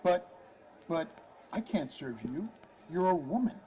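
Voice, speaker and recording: Man acting a prim, muted, higher-voiced barman. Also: lively intonation, measured pace, dark tone. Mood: flustered. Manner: formal, old-fashioned wording. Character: timid, prim small-town barman, disdainful of outsiders. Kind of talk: old-time radio drama acting. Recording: murmuring crowd behind.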